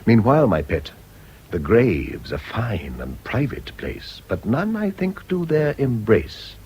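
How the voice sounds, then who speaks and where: A man's voice: mid-range voice, sing-song, slow, a little mellow, slight background noise, poor audio. An actor playing a worldly, cynical middle-aged Englishman in a radio drama, urbane and patronising. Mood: sardonic, teasing.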